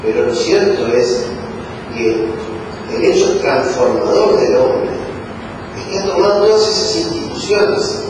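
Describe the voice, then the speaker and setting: High-voiced Argentine man lecturing in echoey hall. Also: big pitch swings, measured pace. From Buenos Aires, Argentina. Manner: rhetorical questions and acted-out quoted exchanges. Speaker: law lecturer, congress speaker. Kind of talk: public conference lecture on law. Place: conference hall. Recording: echoey hall from far off, noisy.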